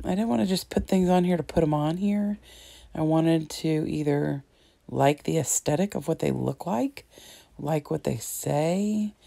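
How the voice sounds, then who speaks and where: Low, gravelly hoarse woman chatting. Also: dark tone, no room sound. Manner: chatty, rambling, frequent ums and throat-clearing. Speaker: scrapbooking crafter. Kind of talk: casual craft tutorial narration.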